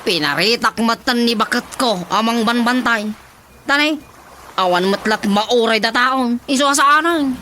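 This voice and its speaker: Actress as a high, animated village woman complaining. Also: normal speed, a little hiss or hum. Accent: Ilocano. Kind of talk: Ilocano radio drama comedy acting. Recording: music bed under the voice.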